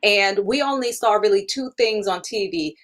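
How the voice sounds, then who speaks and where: Interview guest: woman, 30s, from Germany, first language German, candid and easygoing, laughs a lot, everyday mid pitch. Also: normal speed, fairly bright tone. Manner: candid, laughs often, many fillers. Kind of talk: casual interview.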